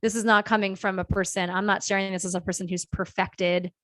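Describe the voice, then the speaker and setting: A woman co-hosting a podcast in casual chat, reflective and measured, thinking out loud. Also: medium pitch, level tone, dry recording. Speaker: podcast co-host.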